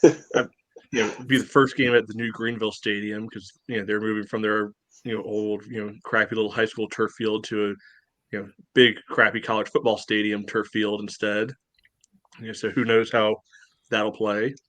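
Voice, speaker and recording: A man with a lowish voice chats bluntly on a sports podcast, sarcastic about the schedule talk. His voice sits close and dry, with no room sound around it. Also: level tone, moderate pace, fairly bright tone, no echo. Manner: blunt, sarcastic, many "you know" fillers. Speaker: podcast co-host. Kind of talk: casual sports podcast chat.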